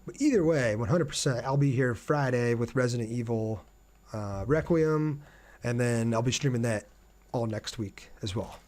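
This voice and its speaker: A man's voice: medium pitch, normal speed, darkish tone. American man chatting on a game livestream. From Ohio, United States. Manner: conversational, addresses viewers directly. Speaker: live streamer playing a video game. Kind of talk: casual gameplay livestream chat.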